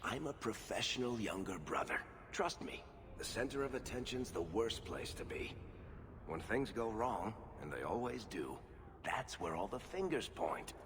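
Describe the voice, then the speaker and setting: A male actor plays a wry dwarf for a fantasy video game. His low voice swings expressively as he teases and spins his point like a storyteller. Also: lively intonation, normal speed, background noise, lightly acted. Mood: wry teasing. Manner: teasing, sardonic, storytelling. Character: wry dwarf man, teasing, self-styled professional younger brother.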